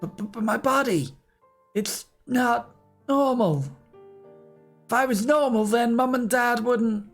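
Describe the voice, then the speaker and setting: Man doing a raised-pitch sad young girl, tearful storybook heroine, husky. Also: sing-song, moderate pace, hardly any pauses, faint music behind. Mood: pleading. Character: tearful young girl, lonely and self-hating.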